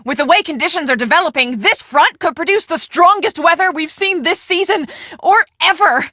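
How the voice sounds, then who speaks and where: An actress playing a brisk, bossy young woman on a storm-chasing team in a video game, speaking emphatically and expressively. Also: very high voice, lively intonation, sharp tone, no echo, studio quality, heavily acted. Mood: stressed, urgent. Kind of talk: scripted video game dialogue.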